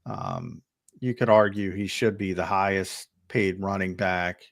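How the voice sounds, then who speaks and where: A man's voice: low-pitched, steady tone, a little slow, gravelly, dry recording, clean studio sound. A man talking as a guest analyst on a sports show, hesitant with lots of fillers, over a remote call. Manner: hesitant, many fillers and restarts. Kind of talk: casual sports talk.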